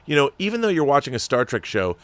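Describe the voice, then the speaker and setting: A man's voice: medium pitch, sing-song, fast, no echo. A man hosting a show that reads viewer letters, giving emphatic, critical commentary and often restarting his sentences. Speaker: host reading viewer letters. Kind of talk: opinionated commentary.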